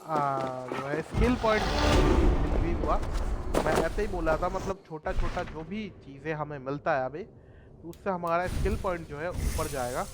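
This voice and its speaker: A man's voice: on the high side, rapid-fire, very noisy. A man with an Indian accent giving casual gameplay commentary. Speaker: gaming YouTuber. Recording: loud game sound behind commentary.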